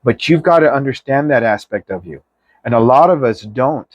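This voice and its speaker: A man with a mid-pitched voice gives a chatty tarot reading, recorded dry and close. He talks straight to viewers like an emphatic pep talk, loose and slangy, with the odd mild swear. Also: moderate pace, dry recording, lo-fi sound. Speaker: tarot reader.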